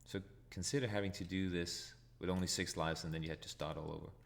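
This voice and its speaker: A man's voice: sits low, fairly even intonation, quick pace, gravelly. A Danish man with a Danish accent, hosting a retro gaming channel, giving live commentary as he plays and thinks aloud. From Denmark. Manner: thinks aloud, chuckles at his own deaths.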